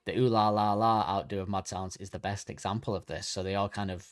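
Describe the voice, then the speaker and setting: A man's voice: low voice, fairly even intonation, a little fast, smooth. A man co-hosting a podcast, chatting casually and reading facts from notes while correcting himself as he goes. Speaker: podcast co-host.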